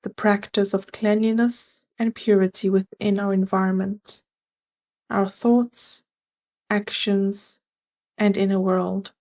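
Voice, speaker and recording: A woman leading a scripted guided meditation, giving gentle, measured and soothing instructions. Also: medium pitch, flat delivery.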